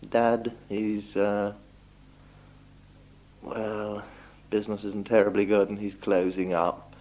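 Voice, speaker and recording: A young man speaking a halting, deliberate, politely phrased spoken letter on an old 1960s home tape recording. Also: on the low side, flat delivery, a little mellow. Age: young adult. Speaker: doctor recording a message for relatives. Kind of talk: spoken letter, informal monologue.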